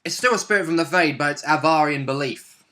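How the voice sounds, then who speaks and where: A man's voice: on the high side, fast talker, bright, forceful tone. A man chatting casually as a tabletop role-play player, chiming in with short agreements and additions. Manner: interjecting with brief agreements and additions. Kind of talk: casual chat about a tabletop game.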